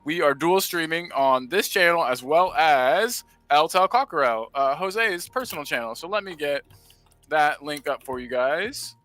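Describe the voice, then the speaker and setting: A man from the United States hosting a casual livestream, reading chat comments and giving upbeat shout-outs. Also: fairly high pitch, animated, unhurried, soft background music. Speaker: livestream host.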